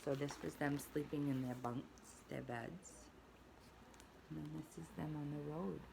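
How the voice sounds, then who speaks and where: A woman's voice: low voice, fairly even intonation, a little slow, gravelly, noisy background. A woman who teaches preschool, reading a storybook aloud expressively and adding asides to the children. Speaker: pre-K teacher.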